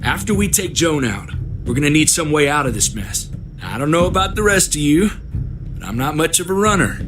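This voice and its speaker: A man's voice: fairly high pitch, animated, a little fast, background noise, close to an everyday voice. An actor playing an anxious, foul-mouthed male survivor in a video game, with a blunt, exasperated manner. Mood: tense, practical.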